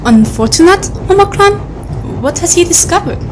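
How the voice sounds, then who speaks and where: A woman's voice: very high voice, lively intonation, moderate pace. An actress playing an inquisitive, polite female member of an adventure team in an audio drama. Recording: clearly noisy background, engine-like ambience.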